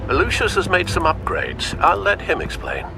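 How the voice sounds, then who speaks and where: An actor playing a loyal, attentive butler and aide in a video game. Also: male voice, high voice, huge pitch swings, moderate pace, vocal fry, over music, heavily acted, low-quality recording.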